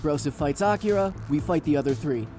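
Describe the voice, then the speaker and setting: A man's voice: a little high, animated, fairly quick, over music, lightly acted. A man playing a matter-of-fact mecha-pilot teammate in a visual novel game, clipped and tactical. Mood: businesslike.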